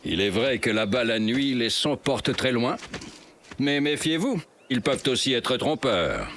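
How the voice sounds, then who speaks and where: A man voicing a kindly, wise elder wolf who narrates and advises in a measured way in a children's cartoon. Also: mid-range voice, very animated, a little hiss or hum. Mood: kindly, cautioning. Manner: measured, storytelling, gently cautioning.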